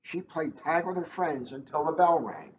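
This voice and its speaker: A man's voice: a little high, expressive, normal speed. A man reading a children's book aloud as a police officer, chatting and joking at his own expense in casual asides. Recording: echoey room, dull lo-fi, faint noise.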